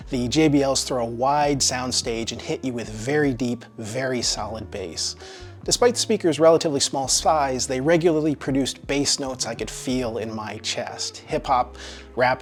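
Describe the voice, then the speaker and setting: Give me a man with a mid-range voice narrating a scripted equipment review. He speaks as a reviewer presenting his own findings. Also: mid-range voice.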